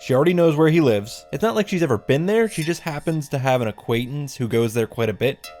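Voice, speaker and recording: A man's voice: fairly high pitch, lively intonation, fast, darkish tone, faint music behind. A man narrating a scripted story recap, breaking into sarcastic, exasperated reactions and swearing. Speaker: host of visual novel reaction and summary videos.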